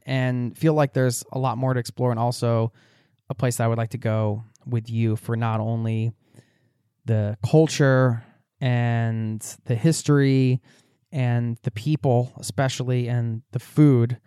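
A man's voice: mellow, smooth, no echo. Earnest, rambling man, dry close sound. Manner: earnest, rambling, upbeat, with rises in pitch. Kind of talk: casual chat.

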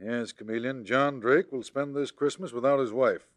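A man's voice: medium pitch, steady tone, moderate pace, no echo, lightly acted. Man playing a hard-boiled police commissioner, dry sound. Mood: grave, sympathetic. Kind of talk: old-time radio mystery acting.

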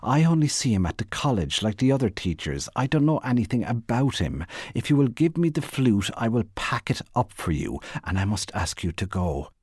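A man voicing a polite, flustered young woman who chatters and tries to stay calm, in an audiobook story. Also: medium pitch, normal speed, few pauses, slightly rough, no echo, clean studio sound, voice pushed up. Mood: prim, firm. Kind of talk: literary audiobook character voice.

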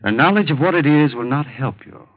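An actor playing a reserved, evasive doctor in an old radio drama, with a measured, formal delivery and a husky voice. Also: male voice, a little high, expressive. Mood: grave. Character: grave, reserved male doctor, middle-aged and evasive. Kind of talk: 1940s radio drama acting. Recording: thin phone-like old radio broadcast.

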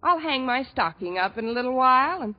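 A woman's voice: sits high, animated, brisk. An actress playing a wry, good-humored single woman who delivers dry quips in a 1940s radio comedy. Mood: playful. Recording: noisy dull 1940s radio recording, studio audience. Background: studio audience.

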